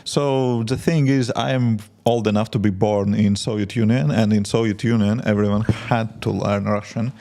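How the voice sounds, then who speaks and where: Man, Latvian accent, gravelly low voice, relaxed coding-stream chat, halting restarts. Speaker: indie app developer streaming. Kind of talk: casual live-stream chat while coding.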